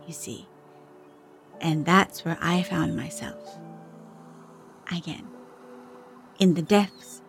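A woman acting as a daughter voicing her deaf diver mother's story in a measured, intimate dramatic monologue with deliberate pauses. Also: mid-range voice, breathy edge.

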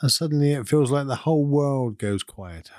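A man leading a guided meditation in a calm, soothing, unhurried manner with long pauses between phrases. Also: mid-range voice, dry recording, clean studio sound. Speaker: meditation guide and podcast host. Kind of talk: guided meditation, gentle instruction.

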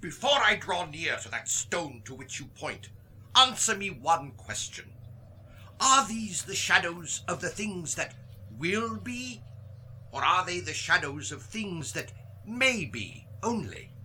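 A man playing a frightened old miser in a radio play, pleading theatrically in a husky, strained voice. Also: sits high, very animated, sharp tone, slight background noise, exaggerated voice, low-quality recording. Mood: fearful pleading. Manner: dramatic, emphatic, slow and imploring.

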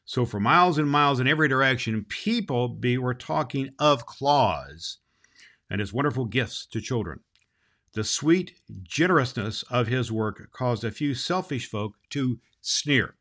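A man's voice: medium pitch, lively intonation, unhurried, a bit bright. A man playing a jolly, kindly old Santa Claus hosting a children's holiday show, folksy and emphatic. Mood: storytelling. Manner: folksy, emphatic, repeats phrases. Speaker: host.

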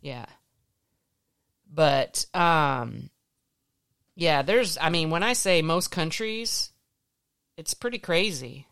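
An American podcast host with a slightly gravelly voice, talking casually and explaining points from notes in an organized way. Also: mid-range voice, expressive, slow pace, pauses often, a bit bright, no echo, clean studio sound.

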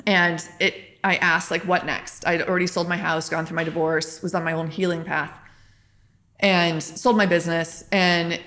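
A woman hosts a podcast interview, with a voice that sits a little low for a woman. She talks in a chatty, enthusiastic way and keeps affirming her guest. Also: flat delivery, normal speed, a bit bright. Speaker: podcast host. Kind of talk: casual interview.

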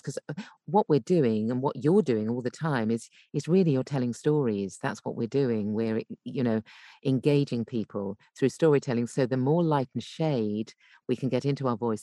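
A woman with a low voice explains technique as a voice coach on a podcast. She thinks aloud, repeating and rewording her phrases. The sound is dry, with very little room in it. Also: mellow, no room sound. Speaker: guest voice coach and voice actor.

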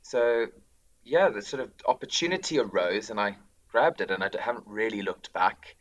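A man's voice: lowish voice, expressive, moderate pace, muffled recording. A man in his thirties with a South African accent, talking casually as an interview guest with many ums and restarts. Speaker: director of drama, guest.

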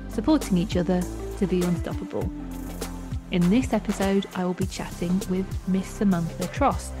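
Woman hosting a podcast over music. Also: mid-range voice. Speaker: podcast host. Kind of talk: scripted podcast introduction and interviewing.

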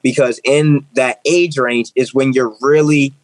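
An earnest young man in his twenties talks through his ideas in an interview. He often restarts and repeats phrases as he builds toward a point. His voice is close and dry, with a middling pitch. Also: fairly even intonation, a little slow, no echo. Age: 20s. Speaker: guest, author and speaker. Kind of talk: interview conversation.

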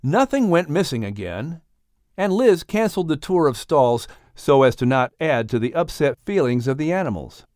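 A man's voice: on the high side, very animated, moderate pace, darkish tone, dry recording, clean studio sound. A man reading scripted announcements and story narration expressively, like an audiobook narrator.

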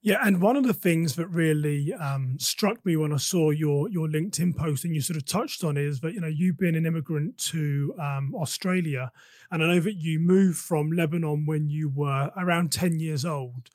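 A man hosting a podcast, his voice sitting a bit higher than most men's. He talks in a chatty, conversational way and asks open-ended questions. The recording is dry and close, with no room sound. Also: normal speed, a little mellow, no echo. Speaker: podcast host.

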